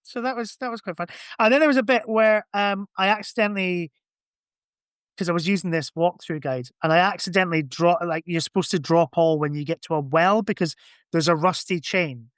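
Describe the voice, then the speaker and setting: A man's voice: sits high, a little fast, fairly bright tone, clean tone, dry recording, clean studio sound. A man in casual podcast conversation, thinking aloud in a rambling way. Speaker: podcast guest or co-host. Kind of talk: casual chat.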